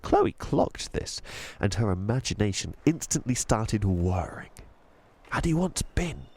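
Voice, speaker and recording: A man narrating a children's audiobook in an expressive storytelling style. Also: fairly low pitch, measured pace, slightly rough.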